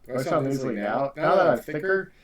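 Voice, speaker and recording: A man's voice: mid-range voice, lively intonation, fast, over a noisy crowd. A man hosting a casual reaction commentary, rambling and self-deprecating in an offhand way. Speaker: channel host reacting to his old video.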